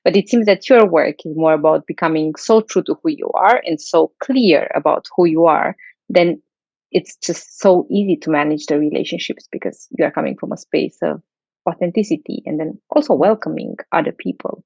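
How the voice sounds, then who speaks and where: Gravelly-voiced woman podcast host. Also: sits low, normal speed, no room sound. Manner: soft, thoughtful, warm questioning with light laughs. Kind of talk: podcast interview. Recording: slightly dull lo-fi sound.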